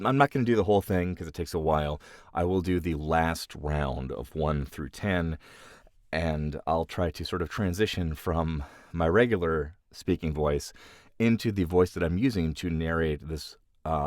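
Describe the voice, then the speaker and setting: Man, deep low pitch, casual explanatory delivery, voice actor, dry close sound. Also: dry recording. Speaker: voice actor and audiobook narrator. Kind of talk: casual explanatory talk to camera.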